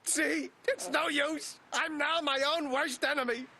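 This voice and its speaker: A man's voice: very high voice, steady tone, unhurried, sharp tone, a little hiss or hum, full character voice. A man voicing a whining, explosive cartoon duck, a detective and father given to constant griping. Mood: despairing. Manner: whining, belly-aching, explosive complaining. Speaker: private detective. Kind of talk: animated comedy acting.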